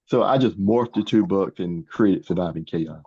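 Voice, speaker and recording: An older man in his sixties or beyond, answering interview questions as a guest author in a halting way, often repeating words. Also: low voice, darkish tone, breathy edge. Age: 60s or older. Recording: dull, muffled lo-fi sound.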